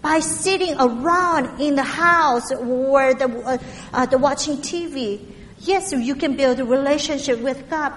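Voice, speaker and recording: A woman from Korea with a heavy Korean accent, preaching a sermon in a halting way, with many fillers and restarts. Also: high-pitched, long reverb tail, a little hiss or hum.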